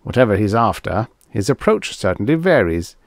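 A man's voice: fairly low pitch, sing-song, normal speed, creaky, no room sound, studio quality. A man reading an audiobook, voicing a take-charge man who speaks assertively and reasons things out aloud. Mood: insistent, reasoning. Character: commanding, take-charge man giving brisk orders. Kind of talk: audiobook character dialogue.

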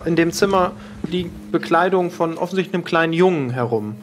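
A man's voice: fairly high pitch, fairly quick, a little hiss or hum. A man narrating a role-play audio drama as game master, describing scenes to the players.

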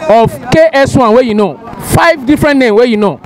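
A young man with a Nigerian Pidgin accent interviewing passersby on a busy street, lively and coaxing, on a handheld mic. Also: very high voice, a little fast, crowd noise, background noise. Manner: lively, coaxing, hypes the viewers. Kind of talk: street vox pop in pidgin. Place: busy outdoor street market.

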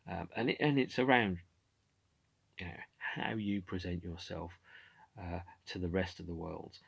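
A man's voice: deep voice, a little slow, creaky, slightly rough, no room sound. A man in his forties talks casually about an album from his home room, with lots of fillers and restarts. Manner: animated, gestures with hands, many fillers and restarts. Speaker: podcast host. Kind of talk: casual music commentary. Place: home room with shelves of CDs.

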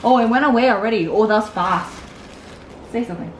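A woman's voice: on the high side, fast talker. A woman in her twenties, a mother chatting casually in a family vlog, recorded by a camera in an apartment room. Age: 20s. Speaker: mother and vlogger. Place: indoors, small apartment room with wooden floor. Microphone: camera a short distance away. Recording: echoey room, distant camera, loud background noise.

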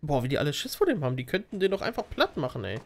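A man's voice: on the high side, very animated, racing, vocal fry. A German man giving casual, teasing gameplay commentary, often talking to the character on screen. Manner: teasing, talks to the game character. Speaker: let's player.

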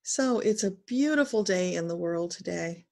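A woman leading a guided spiritual meditation as a teacher, speaking solemnly in short, deliberate phrases with pauses. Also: fairly low pitch, animated, creaky, a little mellow.

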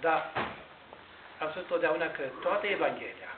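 An older man, a priest, preaching a sermon in a measured, explanatory way with pauses and restarts, recorded by a far camera inside a large church. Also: on the high side. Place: large Orthodox church interior, indoors. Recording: tinny, echoey church recording with hiss.

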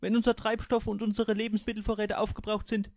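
Guy doing a stuffy know-it-all space navigator, high and loud, preachy. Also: flat delivery, fast, no echo. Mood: gloomy. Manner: lecturing, solemn. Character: pompous, gloomy male navigator, talks down to crew.

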